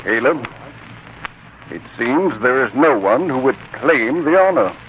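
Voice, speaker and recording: A man's voice: medium pitch, animated, measured pace, lightly acted. An actor playing a modest, hesitant man at a biblical feast, deferential, in 1940s radio style. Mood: hesitant, surprised. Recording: muffled, noisy 1940s radio broadcast.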